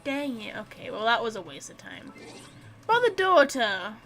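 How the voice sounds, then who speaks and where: A woman's voice: a little high, very animated, normal speed, fairly bright tone, soft background music. A woman streaming a game with casual, chatty commentary, reacting and talking to herself as she plays. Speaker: gaming streamer.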